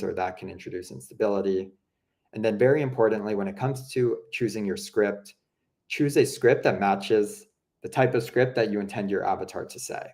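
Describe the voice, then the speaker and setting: A young man with a slightly low voice talks viewers through a software tool. He keeps it loose and conversational, full of fillers, as if chatting across a desk. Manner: relaxed, chatty, many fillers like "you know" and "um". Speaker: product presenter. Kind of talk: casual explanatory walkthrough.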